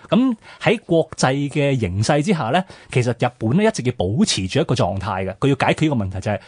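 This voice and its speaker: Hong kong cantonese guy talking history really fast, pitch swinging around, close dry sound. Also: slightly rough, no echo. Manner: explanatory, poses questions then answers them. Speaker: radio history programme co-host. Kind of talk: conversational history discussion.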